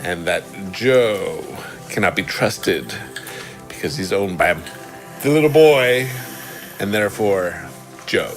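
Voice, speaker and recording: Man playing slow-talking, deep-voiced doll. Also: expressive, creaky, soft background music, exaggerated voice. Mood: slow accusing. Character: deliberate girl doll Jacqueline, speaks in third person. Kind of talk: improvised comedic toy drama.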